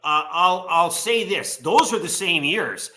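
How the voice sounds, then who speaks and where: Guy talking up his collection, bright voice kinda high, eager and knows his stuff. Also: expressive, measured pace, slight background noise. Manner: eager, knowledgeable, walks through details. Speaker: guest collector. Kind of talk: casual explaining.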